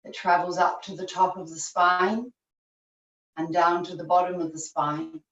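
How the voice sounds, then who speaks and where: Slow, echoey woman guiding deep relaxation. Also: steady tone, a bit bright. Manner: slow, measured instruction in short phrases with long pauses. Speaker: yoga teacher. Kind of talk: guided relaxation meditation. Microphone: video call, at a distance. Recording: distant, echoey, muffled video-call recording.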